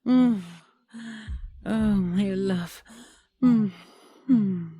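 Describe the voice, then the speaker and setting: A woman playing a regal queen and tender lover in a romantic fantasy audio roleplay, breathy and often whispering, with lingering hums and pauses. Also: medium pitch, no room sound, dull audio. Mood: adoring, intimate.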